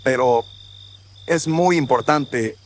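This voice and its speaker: A man voicing a courteous, authoritative colonial viceroy in a radio drama, with a formal, measured, courtly manner. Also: medium pitch, pitched above the performer's own voice, natural voice. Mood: grave, courteous. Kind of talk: audio drama acting. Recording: faint noise with crickets and insects.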